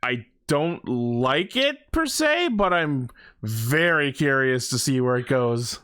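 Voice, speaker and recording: A man's voice: mid-range voice, sing-song, takes it slowly, a bit bright, clean tone, dry recording, studio quality. A man co-hosting a podcast, talking in casual fan banter with an informal, sweary manner. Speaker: podcast co-host.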